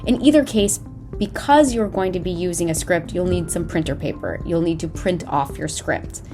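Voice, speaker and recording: A woman presents an instructional lesson in the manner of a drama teacher. Her delivery is measured and explanatory with careful enunciation, and music plays behind her. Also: lowish voice. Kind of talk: scripted instructional narration. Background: background music.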